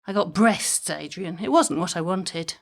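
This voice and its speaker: A woman's voice: on the low side, unhurried. A woman playing a chatty, wry mother with a mischievous streak in an audio drama comedy, speaking conversationally with dry timing.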